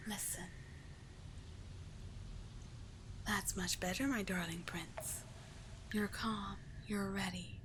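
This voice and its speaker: A woman voicing a seductive, manipulative sea witch in a soft breathy whisper, coaxing and tenderly possessive, in an ASMR roleplay style. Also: medium pitch, noisy background, lightly acted. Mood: hypnotic, soothing.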